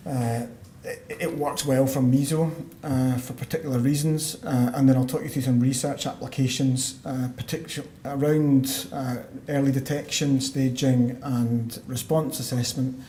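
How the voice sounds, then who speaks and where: A Scottish man, a lung doctor, giving a measured medical conference talk with frequent fillers and restarts. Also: medium pitch, steady tone, dark tone. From Scotland. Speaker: pulmonologist giving a conference lecture. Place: conference hall. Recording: roomy hall sound, faint background noise.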